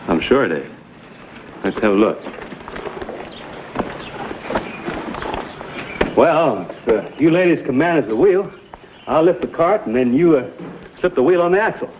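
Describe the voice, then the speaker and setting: Actor as a drawling frontier man, mid-pitched voice, easygoing and hesitant, dull old TV audio. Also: very animated, normal speed, background noise, lightly acted. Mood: friendly. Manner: drawling, hesitant with many uhs. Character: easygoing American frontiersman, helpful and wry. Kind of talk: period TV drama acting.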